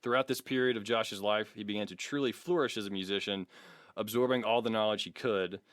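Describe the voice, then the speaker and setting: A man's voice: on the low side, fairly even intonation, fairly quick, a bit bright, no echo. American man, mock-grandiose podcast reader, dry sound. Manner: mock-grandiose, breaks into chuckles, sweary. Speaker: podcast co-host. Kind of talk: comic dramatic reading of a biography with casual banter.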